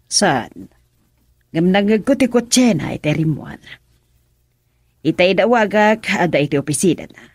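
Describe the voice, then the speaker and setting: A woman's voice: on the low side, lively intonation, a little slow, long pauses, husky, dry recording, studio quality. An actress playing a firm, protective middle-aged mother in an Ilocano radio drama, with an Ilocano accent and an admonishing manner. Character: older mother giving firm advice, scolding, protective.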